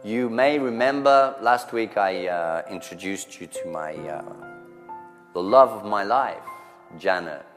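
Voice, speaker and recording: A man playing a lovelorn male crooner who speaks in a low, soft, hesitant way. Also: animated, measured pace, long reverb tail, soft background music. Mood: rueful. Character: smooth-voiced lovelorn male crooner, middle-aged. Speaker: singer in a sketch.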